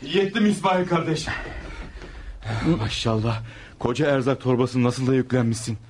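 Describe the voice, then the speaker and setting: A man's voice: medium pitch, moderate pace, darkish tone, a little hiss or hum, lightly acted. A man playing a hearty, pious dervish companion in Turkish radio theatre, given to exclaiming.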